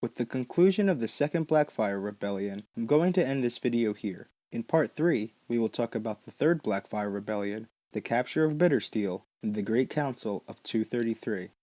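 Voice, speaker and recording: A man's voice: mid-range voice, lively intonation, moderate pace, few pauses, warm tone, no room sound. A man narrating a scripted explainer as the host of a lore series.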